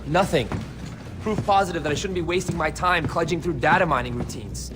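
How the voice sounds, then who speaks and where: Game cutscene role, male actor: geeky young techie, quick and cheeky, music bed behind. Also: a little high, close to an everyday voice. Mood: grumbling. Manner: flippant, jargon-heavy. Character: cocky, geeky young male tech hacker. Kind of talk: dramatic game cutscene acting.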